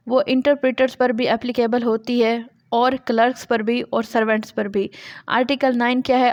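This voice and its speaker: A woman's voice: a little high, a little mellow, no room sound, dull audio. A woman with a Pakistani accent giving an explanatory law lecture, didactic, repeating points and posing questions as she explains. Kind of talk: explanatory lecture, reading legal text aloud and explaining it.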